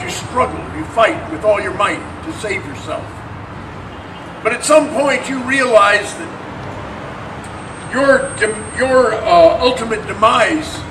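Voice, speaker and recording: Echoey noisy room, actor as hopeless exhausted male prisoner, halting dramatic reading. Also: high-pitched, distant mic. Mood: hopeless, grave. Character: hopeless, exhausted prisoner sinking under solitary confinement.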